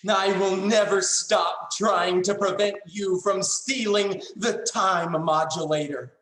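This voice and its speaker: Woman doing a defiant young guy hero, slow dramatic delivery, roomy sound, sci-fi play reading. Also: medium pitch, a bit bright. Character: earnest, defiant young male time-traveling hero, often bewildered.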